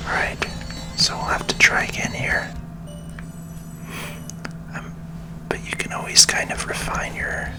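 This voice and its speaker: A person giving gentle, unhurried ASMR video game commentary as host, in a breathy whisper. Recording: music behind the whisper. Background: video game music.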